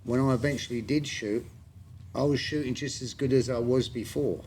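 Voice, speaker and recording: A man's voice: mid-range voice, fairly even intonation, moderate pace, a little mellow, a little hiss or hum. A man in his sixties hosting a podcast, chatting casually with friends and often stammering into his sentences. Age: 60s. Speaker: podcast host. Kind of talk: casual chat.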